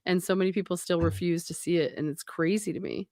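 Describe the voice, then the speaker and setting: A woman with a raspy voice co-hosting a stream, rambling with many fillers. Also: on the low side, fairly even intonation, quick pace, dark tone, no room sound, studio quality. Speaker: stream co-host. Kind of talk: casual chat.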